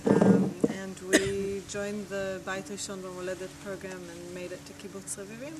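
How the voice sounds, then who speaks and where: A woman's voice: mid-range voice, little pitch movement, moderate pace, heavy background noise. Thirty-two-year-old woman, heard from a distance. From Jerusalem, Israel. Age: 30s. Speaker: interviewee. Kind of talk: casual interview answers. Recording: off-mic.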